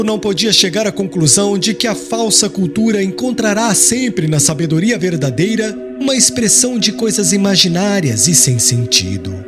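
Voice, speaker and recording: A man with a Brazilian accent reads a literary audiobook in a solemn, expressive storytelling manner. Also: high-pitched. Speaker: audiobook narrator. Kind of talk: scripted literary narration. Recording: music under the voice. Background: background music.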